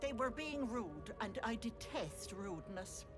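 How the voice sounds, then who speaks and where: A woman's voice: on the high side, sing-song, a little slow. An actress playing a cruel, wheedling old hag in a video game, folksy and scolding, with menace in her endearments. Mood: mocking, irritated. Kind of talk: video game character acting. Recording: game music behind the voice.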